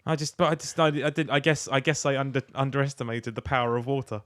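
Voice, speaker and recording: A man's voice: mid-range voice, fairly even intonation, fast talker, a bit bright, no room sound, studio quality. A man, co-hosting a podcast with blunt, sweary, casual comedic banter. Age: 30s. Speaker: podcast co-host.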